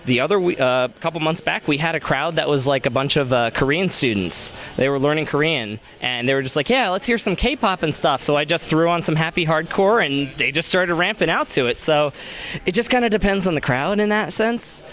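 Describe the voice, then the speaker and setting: A man's voice: a little high, expressive, a little fast, fairly bright tone. Young man chatting casually in an interview. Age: young adult. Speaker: convention DJ and interview guest.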